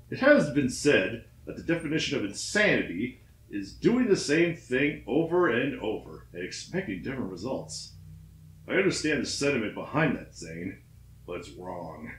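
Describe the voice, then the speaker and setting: A man narrating a scary story in a theatrical, expressive way. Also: medium pitch. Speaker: ghost story reader. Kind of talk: dramatic scripted narration. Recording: roomy, echoing room sound.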